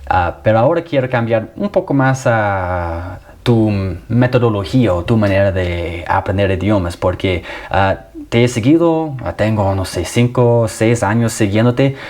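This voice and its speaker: A man's voice: on the low side, darkish tone. A man, an English speaker, asking questions in a casual interview. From Canada.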